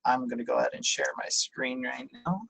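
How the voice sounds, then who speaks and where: A man's voice: medium pitch, fairly quick, vocal fry, a bit bright, rough recording. A man, raised in Italy, giving a webinar presentation on a video call and explaining things with many fillers and restarts. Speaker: university presenter.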